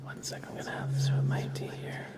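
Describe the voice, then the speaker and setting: A man hosting a live ASMR stream, chatting softly to viewers and gently guiding them in an unhurried way. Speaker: ASMR livestream host.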